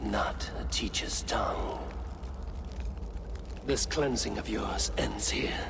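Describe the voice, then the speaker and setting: A man playing a stoic, brooding Viking assassin in a video game, speaking in a terse, low-key manner. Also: slow pace, full character voice. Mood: grim. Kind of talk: video game dramatic acting. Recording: clear background ambience noise.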